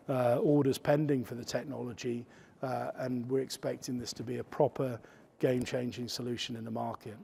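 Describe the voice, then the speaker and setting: A man's voice: mid-range voice, gravelly, warm tone. A man giving a business conference talk as a company chief executive, hesitant with many fillers and restarts. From United Kingdom. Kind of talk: conference presentation.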